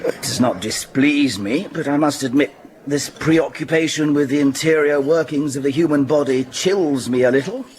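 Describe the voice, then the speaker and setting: Actor as higher-voiced, proper gentleman. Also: normal speed, lightly acted. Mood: disapproving. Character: courteous, squeamish, opinionated gentleman of old-fashioned views. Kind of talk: radio drama acting.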